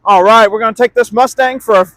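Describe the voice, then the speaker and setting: A man's voice: high-pitched, steady tone, quick pace, a bit bright, dry recording. A man giving an enthusiastic, folksy, casual sales walkaround of a classic car as its dealer. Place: outdoors beside a car, then inside it driving. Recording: noisy outdoor and in-car vehicle noise.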